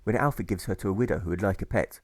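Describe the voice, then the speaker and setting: A man reading a scripted rhyming audiobook in rhythmic, measured phrases, landing on each rhyme. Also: deep voice, no echo, clean studio sound. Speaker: author reading own audiobook.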